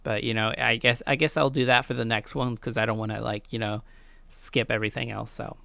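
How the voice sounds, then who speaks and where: A woman's voice: a bass voice, steady tone, brisk, vocal fry, a bit bright, no echo. A woman doing a casual, slangy Let's Play, chatting and reading visual novel text aloud in a playful, informal way.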